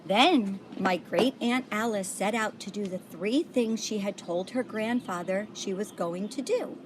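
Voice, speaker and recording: A woman reading a picture book aloud to children in a deliberate way, like a volunteer storyteller. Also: mid-range voice, background noise. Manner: deliberate, pauses to point out pictures.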